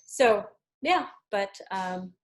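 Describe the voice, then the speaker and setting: A woman from New York with an American accent, talking casually as an interview guest, chatty with hesitant pauses. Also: medium pitch, animated. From New York, United States. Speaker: interview guest, tarot deck creator and artist.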